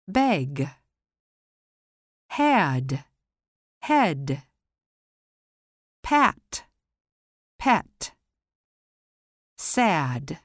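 Woman slowly drilling English vowel sounds. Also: low voice, no room sound, studio quality. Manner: carefully enunciated, reading example sentences. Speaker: pronunciation teacher. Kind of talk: slow, deliberate word-and-sentence drill.

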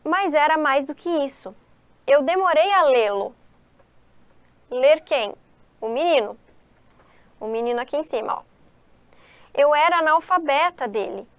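A woman's voice: sits high, animated, measured pace, pauses often, dry recording. A Brazilian Portuguese-speaking woman teacher walking students through exam questions, asking and answering her own questions. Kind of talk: exam-prep lesson, reading and explaining questions.

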